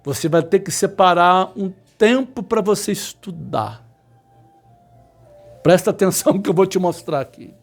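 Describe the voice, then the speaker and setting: High-pitched, emphatic man in his fifties. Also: animated, faint music behind. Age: 50s. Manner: repetitive, emphatic, insistent, with sudden slow stressed words. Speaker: electronics instructor. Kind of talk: motivational talk to students.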